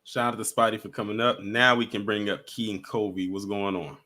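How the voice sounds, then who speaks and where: A man's voice: fairly low pitch, steady tone, moderate pace, pushed and bright. A man hosting a casual talk show, chatting with his guests. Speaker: host.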